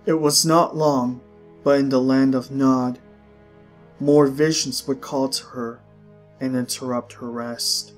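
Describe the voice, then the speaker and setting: A man's voice: medium pitch, steady tone, takes it slowly, faint music behind. A man reading a story aloud as a scripted audiobook narration.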